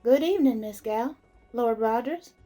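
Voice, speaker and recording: A woman's voice: fairly high pitch, expressive, normal speed, mellow. A woman playing a busy, polite and chatty tavern serving woman in a fantasy audio drama. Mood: polite, harried. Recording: background music under the voice.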